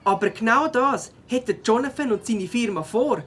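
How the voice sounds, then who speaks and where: A woman's voice: fairly high pitch, normal speed, breathy edge. A woman voicing a young male beaver, caring and determined, in a children's audio drama, earnest and Swiss-accented.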